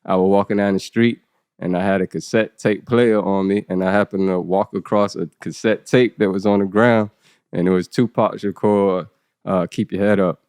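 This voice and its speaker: Deep laid-back man with a Southern American drawl. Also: steady tone, moderate pace, a little mellow, smooth, no echo, studio quality. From United States. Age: 30s. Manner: laid-back, leans on "you know what I'm saying". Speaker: podcast guest, event organizer. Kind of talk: casual interview talk.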